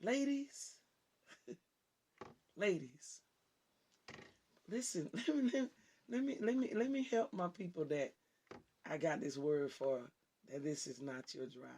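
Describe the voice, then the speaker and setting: A woman with a raspy voice that people on the phone mistake for a man's, preaching with fervour as host of her show and swinging from loud exclamations to hushed asides. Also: slow, pauses often, a little hiss or hum. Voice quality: raspy, deep-sounding voice often taken for a man's on the phone. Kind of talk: impassioned preaching on a talk show.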